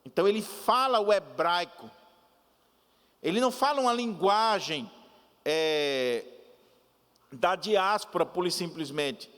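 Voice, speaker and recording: Male pastor, high register, unhurried teaching sermon, long church reverb. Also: animated, a bit bright. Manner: deliberate, repeats and restates points, explanatory asides.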